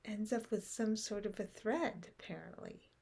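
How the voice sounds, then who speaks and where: A woman leading a guided meditation in short, unhurried phrases with long pauses, softly trailing into breath at the ends. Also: mid-range voice, gravelly, breathy edge. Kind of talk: guided meditation, unscripted and contemplative.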